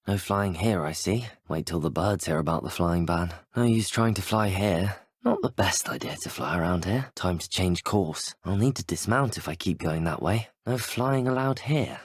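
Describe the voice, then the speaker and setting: Plucky young wizard adventurer, video game hero, breathy low voice, very dry close recording, politely musing to himself. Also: male voice, no echo. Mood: wry resignation. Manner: polite, wry, thoughtful muttering to himself. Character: young male wizard student, curious, plucky, bookish adventurer.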